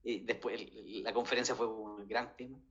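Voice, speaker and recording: A man's voice: lowish voice, fairly even intonation, moderate pace, fairly bright tone, highs cut off. A man in his thirties from Chile with a Chilean Spanish accent, telling a story in casual conversation with many fillers and restarts. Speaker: guest.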